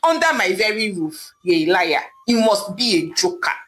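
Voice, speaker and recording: A woman's voice: mid-range voice, very animated, moderate pace, fairly bright tone. A woman voicing an angry, betrayed young wife who screams, wails and begs. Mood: furious. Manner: screaming, wailing, begging.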